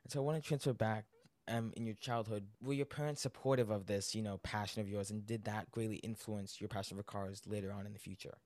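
A man's voice: fairly low pitch, fairly even intonation, fast talker, darkish tone, no room sound. A young man hosting a podcast interview, asking earnest questions and reacting eagerly to the answers. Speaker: podcast host.

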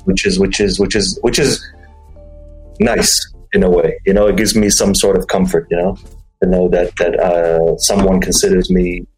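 A man's voice: sits low, steady tone, moderate pace, a little mellow, faint music behind, low-quality recording. A man from Dubai chatting casually as a podcast guest, repeating words and saying "you know" often. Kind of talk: casual conversation.

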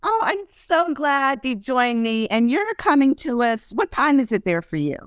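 A woman's voice: high-pitched, sing-song, normal speed, clear, resonant tone, dry recording, studio quality. A woman hosting a podcast in casual interview chat, talking loosely with many ums and fillers. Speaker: podcast host.

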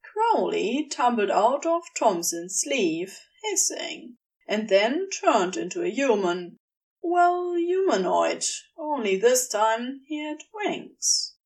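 A woman's voice: fairly high pitch, very animated, takes it slowly, distant mic. A woman reading fiction aloud as a scripted story narrator. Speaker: audiobook narrator.

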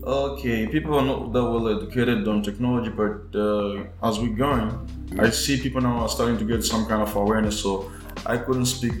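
A man's voice: medium pitch, fairly even intonation, normal speed, roomy sound, soft background music. A Swahili-speaking man from Tanzania, a podcast guest talking casually about design, often repeating words and restarting.

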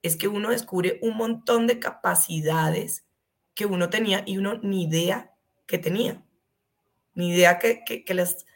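A woman's voice: mid-range voice, measured pace. A woman with a Colombian accent explaining conversationally as an expert guest, emphatic and fond of rhetorical questions.

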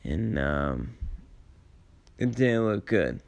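A man with a raspy voice, tired late in the day, telling personal stories in a casual, rambling, halting and reflective way with laid-back slang. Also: lowish voice, big pitch swings, a little mellow. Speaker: storyteller sharing personal memories.

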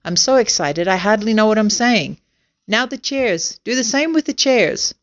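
A woman voicing a bossy, excitable male monkey ringleader in a storybook, giving urgent orders. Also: mid-range voice, muffled recording, lightly acted. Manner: urgent, shouted commands.

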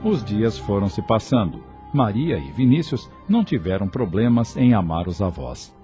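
A man's voice: on the low side, sing-song, normal speed, warm tone, faint music behind. A man narrating a scripted radio drama in a dramatic storytelling style.

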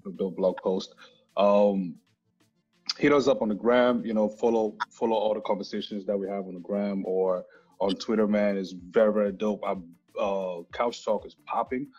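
Husky-voiced man hosting a casual podcast. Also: on the low side, steady tone, moderate pace, a little mellow. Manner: informal, slangy, repeats phrases. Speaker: podcast host. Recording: muffled lo-fi audio, faint music.

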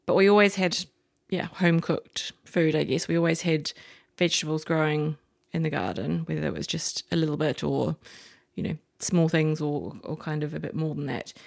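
A woman's voice: sits low, vocal fry. A woman from New Zealand with a New Zealand accent, a chef chatting as a podcast guest, enthusiastic and rambling with frequent restarts. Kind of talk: casual interview chat.

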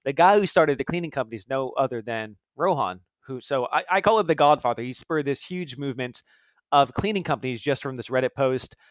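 A man's voice: medium pitch, animated, fairly quick, no room sound. A man co-hosting a podcast, chatting casually with a guest in a laid-back, enthusiastic, slangy way. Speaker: podcast co-host. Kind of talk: casual interview chat.

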